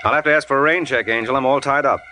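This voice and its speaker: A man playing a breezy American private eye in an old radio mystery. His medium-pitched voice is suave and quipping, with music clearly behind him on a vintage recording. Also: rapid-fire, lightly acted. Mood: breezy, wry. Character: breezy, wisecracking male private detective on the phone. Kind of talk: 1940s radio detective drama acting.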